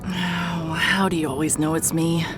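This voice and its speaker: Music runs behind a worn-out woman private eye with a low voice. She is hard-hearted and short on sleep, and she talks in halting, weary phrases, as in a noir audio drama. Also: level tone. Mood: halting, flustered. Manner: weary, halting, stammering under stress. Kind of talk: noir-like first-person audio drama narration.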